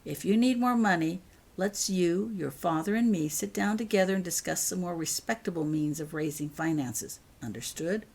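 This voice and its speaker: A woman's voice: lowish voice, animated, moderate pace, vocal fry, a little mellow, husky, full character voice. Woman playing a firm, caring mother. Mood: stern. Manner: decisive, motherly.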